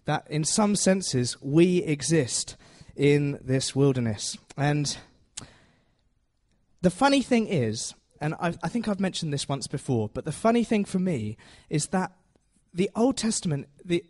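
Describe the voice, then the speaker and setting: Man preaching informally, higher-pitched voice. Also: a little mellow. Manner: conversational, self-deprecating, checks in with listeners. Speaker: preacher giving a Bible talk to a congregation. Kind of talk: informal teaching sermon.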